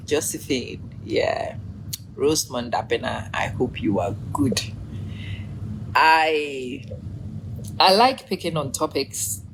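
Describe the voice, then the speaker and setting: Deep-ish woman, 40s, live stream host, laughing a lot, low energy, bit of background hiss. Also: rough recording. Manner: chatty, laughs often, greets viewers by name. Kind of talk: casual live-stream commentary.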